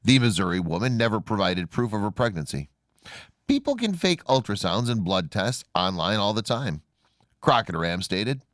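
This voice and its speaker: A man's voice: lowish voice, very animated, moderate pace, no echo. A man narrating a scripted human-interest story with a polished, dramatic storytelling delivery.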